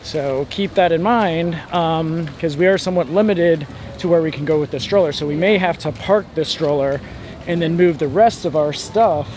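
A man's voice: fairly high pitch, normal speed, noisy background. A young man chatting casually in an outdoor vlog, informal and rambling. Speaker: travel vlogger. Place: outdoors at a beach and island park. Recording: outdoor vlog with background music.

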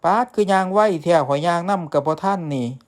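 A man's voice: high voice, quick pace, voice pushed up, close to an everyday voice. A man voicing a cheeky teenage village boy with an Isan accent, exclaiming to himself in a folk tale. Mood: cheeky, curious. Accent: Isan, northeastern Thai. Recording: echoey reverberant sound with music behind.

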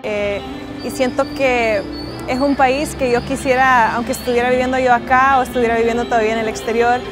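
A woman's voice: on the high side, steady tone, brisk. A woman in her twenties from El Salvador, with a Salvadoran accent, giving an earnest, informal testimonial to camera. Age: 20s. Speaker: interviewee, emigrant voter. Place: outdoors, covered airport walkway. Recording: music under the voice. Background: music.

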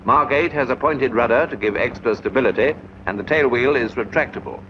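A man reading scripted narration for a 1940s military training film in a measured, instructive way. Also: mid-range voice. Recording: dull noisy 1940s film soundtrack.

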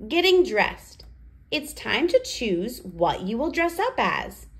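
A woman with a fairly high, very animated voice reads aloud for toddlers. She takes it extremely slowly, in simple, deliberate phrases with a sing-song lilt. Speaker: children's read-aloud host.

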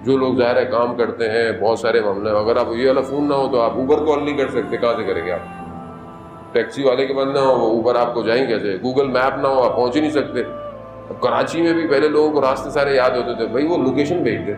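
A man giving a majlis sermon as a Shia cleric, moving from conversational preaching into impassioned, high-pitched appeals. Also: brisk. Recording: echoey hall with music underneath.

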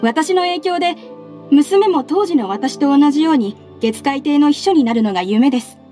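Casting: earnest young working-mother secretary, anime game drama, high polite voice, measured and respectful. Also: lively intonation. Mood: warm, heartfelt. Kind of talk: Japanese anime game voice acting. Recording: studio voice over game music. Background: soft background music.

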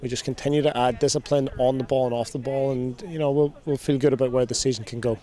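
A man in his thirties, a soccer coach giving a measured post-game interview outdoors, often repeating and rephrasing his words. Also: medium pitch, steady tone, dark tone. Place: outdoor sports field at night. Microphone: handheld interview microphone.

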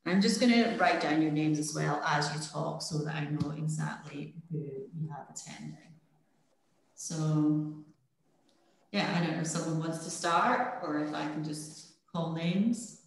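A woman instructor on a video call, her voice sitting on the low side and ringing with strong room echo. She talks in a friendly, hesitant way, with lots of "um"s as she guides the group. The sound is that of a webcam mic in a bare room. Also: measured pace, gravelly, slight background noise. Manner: friendly, hesitant with frequent fillers. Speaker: dog training instructor. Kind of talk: leading a volunteer training call. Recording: very echoey room.

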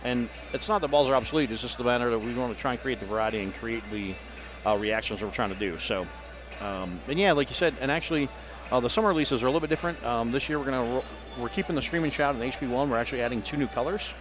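A man's voice: mid-range voice, fast, music in the background. Chatty man on a headset at a trade show. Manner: rambling, filler-heavy, upbeat. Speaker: guest company rep. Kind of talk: casual booth chat. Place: busy trade show hall.

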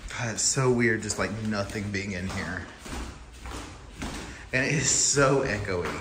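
A man's voice: on the low side, a little slow. Man chatting over background noise. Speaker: family vlogger filming the tour. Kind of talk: casual chat while filming. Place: empty house, indoors, briefly outside. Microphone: handheld phone, behind the camera. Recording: clear background noise, echoey empty house.

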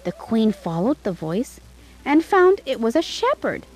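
A woman narrating a scripted fairytale for children in an expressive, dramatic way. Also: on the high side, measured pace, rough recording. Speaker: storyteller.

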